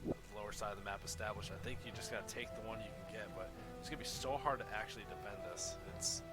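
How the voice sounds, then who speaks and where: A man casting a strategy game, giving steady play-by-play in a relaxed way, from a mic set a little away from him. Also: lowish voice, fast talker, loud music behind the voice. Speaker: esports caster. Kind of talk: live game commentary. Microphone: desk mic set a bit far away.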